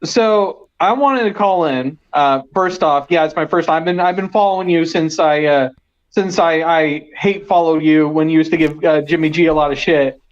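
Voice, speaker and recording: A male caller from the San Francisco Bay Area, heard over a dull, lo-fi call line. His voice sits high for a man, and he rambles on in a casual way, tripping over his restarts. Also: moderate pace.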